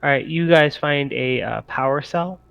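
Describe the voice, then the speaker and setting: A man acting as game master, improvising descriptions for a tabletop role-playing game in a halting way. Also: on the high side, vocal fry, a bit bright, dull audio.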